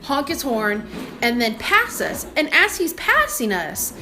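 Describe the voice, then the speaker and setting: A young woman with a high, bright voice tells a personal story casually and emphatically, like a vlogger. She sounds indignant and animated, with faint music behind her. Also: unhurried.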